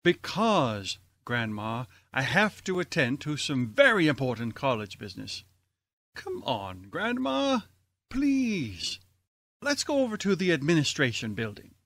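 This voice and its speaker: Casting: actor as impatient college chairman, highish lively voice, brusque, radio comedy. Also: measured pace, no echo, heavily acted. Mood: exasperated. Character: exasperated, tight-fisted college board chairman. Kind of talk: old-time radio comedy acting.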